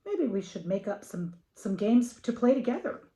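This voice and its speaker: A woman's voice: medium pitch, very animated, normal speed, mellow, echoey room, slight background noise, voice pushed up, natural voice. An American woman reading the lines of an adventurous, upbeat little girl in a children's storybook, plainly and emphatically. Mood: eager, friendly.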